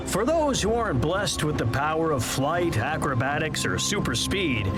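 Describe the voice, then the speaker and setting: A man voicing a boastful, self-promoting superhero who narrates a video game tour like a pompous salesman. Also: on the high side. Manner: pompous showman, salesman-like, with awkward asides. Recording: loud music behind.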